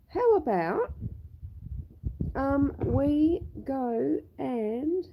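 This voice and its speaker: A woman's voice: sits high, warm tone, breathy edge, rough recording. A woman hosting a live stream outdoors on her homestead, chatting to viewers by name. She is still a bit snuffly with a cough from a long illness. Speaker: homestead livestream host. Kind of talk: casual live-stream chat. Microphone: phone on a tripod.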